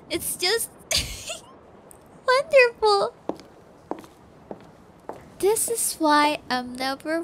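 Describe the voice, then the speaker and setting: A woman's voice: high voice, slight background noise. A woman playing a sadistic, possessive psychopath who keeps a captive pet, taunting and gloating in an intimate ASMR audio roleplay. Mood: elated, manic. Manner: taunting, gloating, giggly, drawn-out threats.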